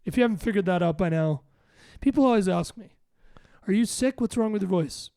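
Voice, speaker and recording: High, raspy young man hosting a podcast. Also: dark tone, no room sound, clean studio sound. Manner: rambling, joking, quick restarts. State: hoarse, voice worn out. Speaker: podcast host. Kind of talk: casual podcast chat.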